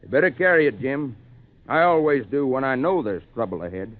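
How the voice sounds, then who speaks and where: An actor playing a sober, knowing backwoods doctor who explains and cautions gravely, in 1940s radio drama style. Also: male voice, mid-range voice, animated, brisk, narrow, tinny audio, loud music behind the voice, lightly acted. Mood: cautioning. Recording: thin, band-limited 1940s radio recording.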